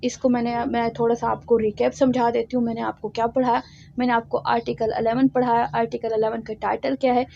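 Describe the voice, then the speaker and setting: A woman with a Pakistani accent teaching as a law lecturer, explaining and reading out text in a deliberate, instructional way. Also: fairly high pitch, level tone, creaky, dark tone, slight background noise. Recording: dull lo-fi sound.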